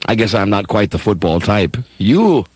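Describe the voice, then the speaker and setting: A man's voice: fairly low pitch, very animated, moderate pace, darkish tone, slightly rough, dry recording. A man playing an eager, folksy young small-town dreamer in a comedic script read, stammering as he goes. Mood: flustered, teasing. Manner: stammering, breaks off with asides. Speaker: radio show performer.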